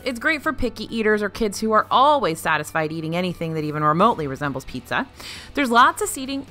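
A woman narrating a chatty food review, wry and opinionated. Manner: animated, wry and opinionated, jumps between high and low pitch. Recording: faint music behind.

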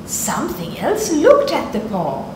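A woman's voice: high voice, wildly swooping pitch, unhurried. A middle-aged woman, reading expressively to children as a storytelling host. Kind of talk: expressive read-aloud for children. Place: living room sofa, indoors. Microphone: lapel mic. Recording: very echoey room, background noise.

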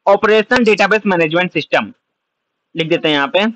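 High-voiced man teaching step by step. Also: fairly quick, a bit bright, no echo. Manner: explains step by step, asks and answers own questions. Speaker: computer science teacher. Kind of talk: whiteboard lecture.

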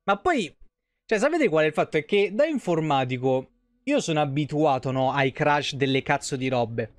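Higher-pitched, clear-voiced man live streaming games. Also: animated, normal speed, no echo, clean studio sound. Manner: informal, swears freely. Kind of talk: casual live gameplay commentary with chat.

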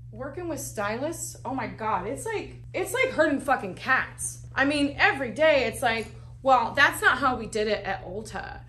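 A woman from Las Vegas, a salon owner, telling her own story in a frank, salty, animated way. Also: a little high, slight background noise. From Las Vegas, United States. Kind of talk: candid personal storytelling.

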